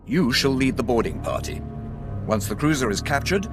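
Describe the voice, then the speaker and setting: A man playing a brisk, dutiful imperial fleet commander in a video game, with a formal military manner. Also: medium pitch, expressive, music in the background, close to an everyday voice. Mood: urgent, formal. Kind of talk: video game cutscene acting.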